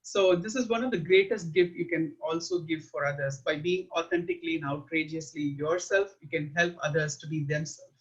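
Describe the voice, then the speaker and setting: An earnest man with an Indian accent, talking through an interview in a measured, reflective way. His voice sits fairly high for a man. The sound is muffled and lo-fi. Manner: earnest, measured, with frequent uh fillers. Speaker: guest. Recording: muffled lo-fi audio.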